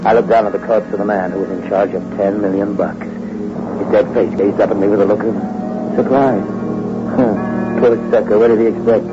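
An actor playing a ruthless, greedy treasure-hunting thug in a hard-boiled, dramatic 1960s radio suspense style. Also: male voice, on the low side, fairly even intonation, normal speed, over loud music, close to an everyday voice. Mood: callous, gloating. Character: greedy, ruthless Bronx thug narrating his treasure hunt. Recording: dull, very noisy old radio recording.

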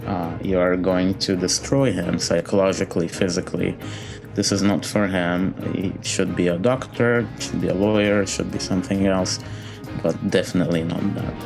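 A man from Egypt, a concert pianist, answering interview questions thoughtfully with many pauses and fillers. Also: low voice, steady tone, vocal fry, music in the background.

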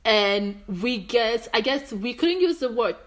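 Animated young woman guest, halting restarts. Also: on the high side. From Greece, of Nigerian heritage. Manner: animated, searching, with many restarts. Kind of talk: casual conversation.

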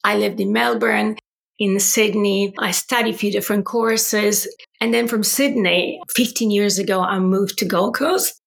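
Brief: Polish woman, 40s, podcast guest, gravelly and a bit husky, earnest storyteller. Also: medium pitch, a little slow. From Poland. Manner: earnest, animated storytelling with tag questions. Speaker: wellbeing coach, podcast guest. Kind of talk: conversational interview.

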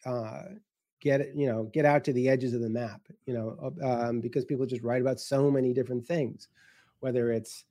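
A man speaking off the cuff in a panel discussion as a guest editor, haltingly and with many broken-off starts. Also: mid-range voice, steady tone, dark tone, dry recording, clean studio sound. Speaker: guest editor of a science writing anthology.

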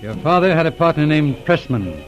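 A calm shrewd police inspector in an old radio show, courteous, lots of rise and fall in the voice. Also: male voice, fairly high pitch, moderate pace, dull audio, faint music behind. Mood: calmly probing. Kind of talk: 1940s radio drama acting. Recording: old radio drama recording.

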